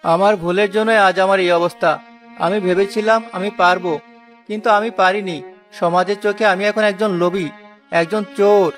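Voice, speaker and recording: A man's voice: high voice, steady tone, brisk, natural voice. A man voicing a poor, honest porter in a cartoon moral tale, earnest and plaintive. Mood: despairing. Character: poor honest male porter, proud, wronged, humble. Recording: music behind the voice.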